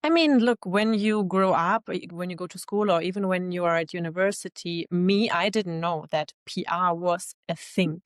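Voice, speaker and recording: A woman in her thirties, talking in a fairly low voice about her own path. She sounds reflective and laughs easily. The sound is dry and close to the mic. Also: moderate pace, hardly any pauses, no echo. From Germany. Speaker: guest, LinkedIn expert. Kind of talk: casual interview chat.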